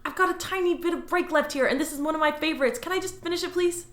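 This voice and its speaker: A woman's voice: sits high, fast talker, natural voice. A woman playing an eager rookie alien clerk. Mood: pleading. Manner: dreamy storytelling, pleading with coworker. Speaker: voice actor. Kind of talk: audio drama acting. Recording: roomy echo over loud music.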